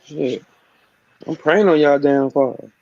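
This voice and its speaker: A man joining an online call as a guest, loud and taunting with profane trash talk. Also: fairly high pitch, takes it slowly, vocal fry, no echo. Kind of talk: casual chat. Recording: dull, dry lo-fi call audio.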